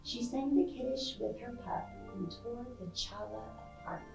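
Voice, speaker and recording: A woman reading a picture book aloud to children, expressive and playful, with chatty asides and questions to her young listeners. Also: fairly high pitch, normal speed, creaky, roomy sound, recorded from a distance, over music, low-quality recording. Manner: expressive, playful, acting out sound effects. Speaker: library storytime reader.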